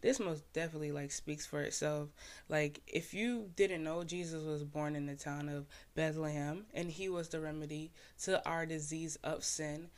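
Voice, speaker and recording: A man's voice: on the high side, steady tone, moderate pace. A young man from Haiti, a podcast host and poet, casually explaining his own poem in laid-back slang full of "like" fillers.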